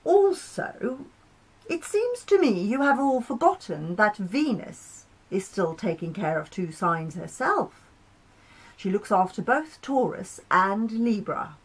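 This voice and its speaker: A stern, authoritative male planet from a storybook, voiced by a woman, lecturing the others with measured patience. The delivery is insistent and reasoned, with a husky edge. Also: medium pitch, animated, exaggerated voice, rough recording. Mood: firm, reasoning.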